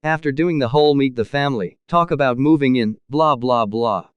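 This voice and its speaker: A man's voice: mid-range voice, brisk, clear, resonant tone, no room sound, lo-fi sound. A man narrating forum posts aloud in a matter-of-fact reading style.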